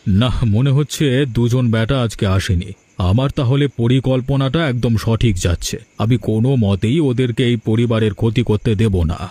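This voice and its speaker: A man's voice: mid-range voice, normal speed, dark tone, slight background noise, lightly acted. A man voicing a kindly, resolute district magistrate in disguise in a cartoon story. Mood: earnest, explaining. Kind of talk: Bengali cartoon story dubbing.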